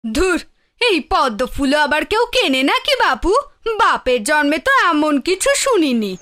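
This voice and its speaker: Woman playing a shrill, swooping nosy village neighbour. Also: sing-song, normal speed, a bit bright. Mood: scoffing. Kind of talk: cartoon fairy-tale voice acting.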